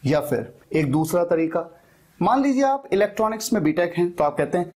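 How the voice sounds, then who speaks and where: Indian guy teaching english, hindi native, slightly raspy voice, repeats examples really deliberately. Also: sits high, sing-song, darkish tone. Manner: deliberate, emphatic repetition of examples. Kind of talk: instructional lesson, explaining with example sentences.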